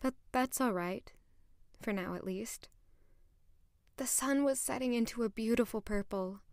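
Woman acting a wistful young noblewoman, high voice. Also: unhurried, a little mellow, no room sound, studio quality. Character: wistful, polite young noblewoman aged twenty-four. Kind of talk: audio drama acting with introspective narration.

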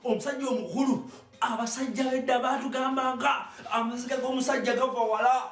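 A middle-aged man with a Ugandan accent, delivering an animated comic monologue to camera as a show host, often repeating phrases for emphasis. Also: very high-pitched, fast talker. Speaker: online comedy show host. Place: small indoor studio with hard walls. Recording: echoey room, distant microphone, music.

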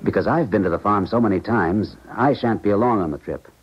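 Male scientist, low pitch, cultured, exact diction, vintage radio drama, dull recording. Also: fairly quick, breathy edge. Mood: assured, precise. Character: cultured, uneasy male scientist helping a colleague defect. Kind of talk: 1970s American radio drama acting. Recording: muffled 1970s radio recording, faint noise.

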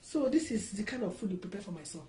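A woman's voice: medium pitch, lively intonation, fast talker, a little mellow, reverberant, close to an everyday voice. A woman playing an easily angered older mother-in-law in a film drama, with a Nigerian accent and a sarcastic, accusing manner. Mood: bitter, disapproving. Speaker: actress.

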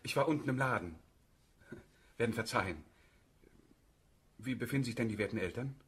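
A man's voice: mid-range voice, a little fast, fairly bright tone, breathy edge. An actor playing an obliging, deferential yet firm middle-aged merchant father in a German radio play. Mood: polite, deferential.

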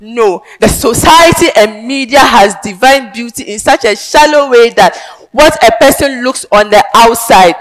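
A young woman with a Ghanaian accent performing a theatrical advocacy monologue on a large indoor stage, emphatic and declamatory with sudden loud swells. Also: fairly high pitch, lively intonation, measured pace, low-quality recording. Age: young adult. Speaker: pageant contestant.

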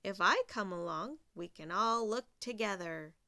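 A woman's voice: mid-range voice, measured pace, pushed and bright, no room sound. A woman voicing a helpful, slightly unsure deer in a children's storybook, friendly and hesitant.

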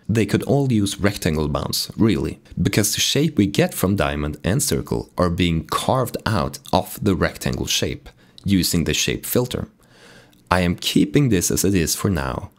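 A man with a very low, raspy voice, hoarse from being sick, talks through code in a steady tutorial. His pitch moves around a lot as he explains each step, keeping the delivery lively despite the rasp. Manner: calm explanatory walkthrough. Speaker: game dev tutorial host. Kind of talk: screen-recorded coding tutorial narration.

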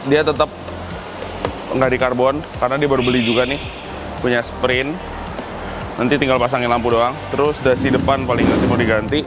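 A young man from Indonesia giving a casual, slangy walk-through of his custom workshop, laughing often. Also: mid-range voice. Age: young adult. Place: large indoor car workshop.

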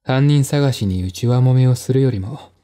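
Man playing a dry, cynical man, mellow voice. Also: mid-range voice, lightly acted. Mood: sardonic. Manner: dry, sardonic, measured. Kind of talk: dramatized audiobook dialogue.

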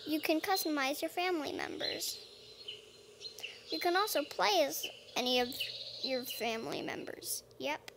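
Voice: high-pitched, lively intonation, unhurried, vocal fry, noisy background. A child hosting a gaming video, chatting casually and playfully in character while playing. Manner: playful, talking to self in character. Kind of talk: casual role-play game commentary. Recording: music behind the voice. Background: game music.

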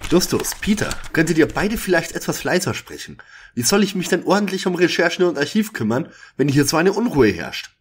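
A man's voice: fairly high pitch, sing-song, fairly quick, slight background noise. An actor playing a fussy, peevish boy detective researcher with a prim manner in a parody audio drama. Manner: prim, complaining.

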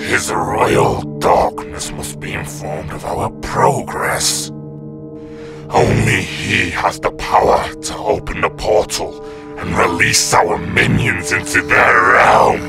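A man playing a menacing, imperious demon lord in a radio play, with a gravelly voice and threatening delivery. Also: low voice, slow, full character voice. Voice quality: gravelly, creaky. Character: menacing demon lord commanding a dark army. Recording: loud music over the voice.

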